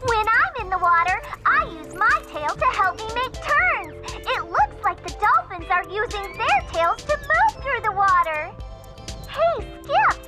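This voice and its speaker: A woman voicing an eager, curious young animal friend, with loud background music. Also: extremely high pitch, very animated, measured pace, heavily acted. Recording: cartoon voice with loud music behind.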